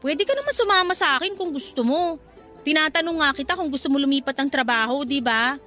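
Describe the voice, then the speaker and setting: Sassy, high-voiced gossipy shop girl. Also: animated, fast talker, sharp tone, faint music behind. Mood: persuading. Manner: chatty, slangy, teasing. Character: gossipy young female shop co-worker, sassy, practical. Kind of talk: Filipino radio-style dramatized love story.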